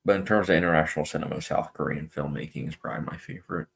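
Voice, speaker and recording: A man's voice: very deep voice, very fast, gravelly, fairly bright tone. A young man hosting a casual live stream, reading out viewer comments and chatting loosely with plenty of fillers. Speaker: movie channel host.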